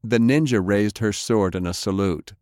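A man with a low voice reads action fiction as an audiobook. His narration is dramatic and scripted, and the sound is dry, with hardly any room around it. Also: normal speed, darkish tone, no echo.

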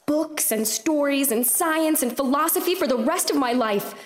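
A woman's voice: sits high, moderate pace, close to an everyday voice. An actress playing a headstrong, bookish seventeen-year-old aristocratic girl in an audio drama, haughty and plaintive. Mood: indignant. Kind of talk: full-cast audio drama. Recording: long hall reverb.

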